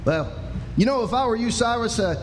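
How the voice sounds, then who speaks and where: An actor playing a good-natured, bumbling frontier sheriff, folksy, hesitant and wry, in a live western radio play. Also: male voice, extremely high pitch, expressive, a little fast. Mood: puzzled, wry. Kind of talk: live old-time western radio drama acting. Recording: echoey hall with faint noise.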